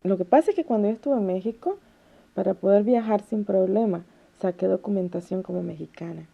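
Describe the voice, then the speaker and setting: A woman from Nicaragua with a Nicaraguan Spanish accent, recounting her own story rapidly and emotionally in an interview. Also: medium pitch, vocal fry. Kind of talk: personal testimony interview. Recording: muffled, dull archival interview tape.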